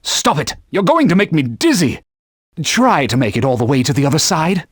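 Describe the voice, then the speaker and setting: An actor playing a stern, proud talking sword who guides a hero with formal, old-fashioned speech in a video game. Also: male voice, fairly high pitch, very animated, moderate pace, no echo, studio quality. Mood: alarmed. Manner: formal, stern, archaic phrasing. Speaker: sword companion giving gameplay hints. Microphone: dry studio booth.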